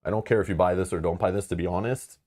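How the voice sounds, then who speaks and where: Man with a very low voice, casual stream host, rambly, frank, lots of fillers. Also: steady tone, fast talker, a little mellow. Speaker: board game channel host. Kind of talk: casual live-stream chat.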